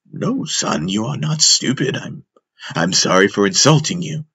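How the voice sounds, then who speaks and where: A man voicing a gentle, troubled old father who speaks in a halting, earnest, pleading way in a fantasy audiobook. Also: lowish voice, big pitch swings, breathy edge, exaggerated voice. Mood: pleading concern.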